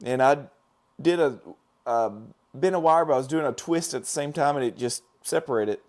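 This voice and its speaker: A middle-aged man, hoarse and losing his voice, hosting a casual live stream as a dental lab technician, rambling with fillers and restarts while he works and talks to viewers. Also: fairly high pitch, moderate pace, darkish tone.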